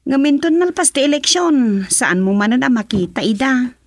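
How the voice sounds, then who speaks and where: A woman's voice: high voice, lively intonation, normal speed, dry recording. A woman acting a skeptical townswoman in a radio comedy drama, speaking conversationally and questioningly with an Ilocano accent.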